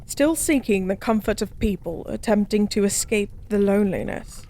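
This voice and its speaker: A woman voicing a lonely, drunk fallen goddess in a soft-spoken audio roleplay, musing and rambling with weary melancholy. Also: mid-range voice, expressive, moderate pace, lightly acted. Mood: melancholic, resigned.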